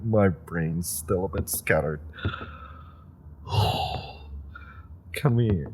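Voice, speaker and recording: A man's voice: low voice, very slow, darkish tone, a little hiss or hum. A man voicing an eager young superpowered rookie in an audio roleplay drama, rambling and giggling as he stumbles over his words. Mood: woozy. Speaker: voice actor.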